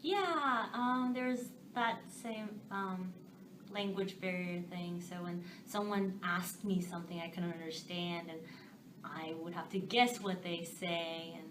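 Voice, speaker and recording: A woman's voice: lowish voice, takes it slowly. Woman in an echoey, noisy room. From Korea. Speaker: interviewee. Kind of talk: casual interview answers. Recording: echoey distant mic, noisy background.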